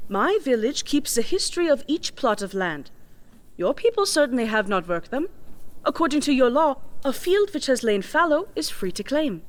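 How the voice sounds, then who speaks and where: A woman playing a composed, defiant young village farmer in a fantasy audio drama, speaking formally with polite, measured sarcasm. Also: high voice, big pitch swings. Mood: calm defiance. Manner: measured, formal, politely sardonic, uncontracted speech.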